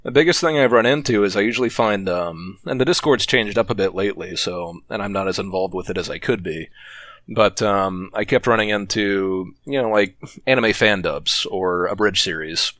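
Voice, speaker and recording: Low-voiced rambling man telling stories. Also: moderate pace, a bit bright, dry recording. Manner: rambling storyteller, laughs often. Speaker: podcast guest. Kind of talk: casual podcast chat.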